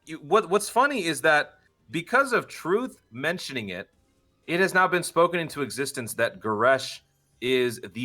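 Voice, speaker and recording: A young man with a bright, forceful voice at an ordinary middle pitch. He chats casually as a podcast guest, joking and teasing himself in a playful way. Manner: playful, jokey, self-deprecating.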